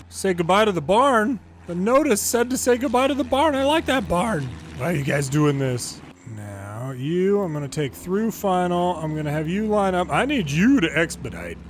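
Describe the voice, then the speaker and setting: A man's voice: high-pitched, slight background noise. An American man giving casual, folksy gameplay commentary and explanations as a former controller hosting a gaming video.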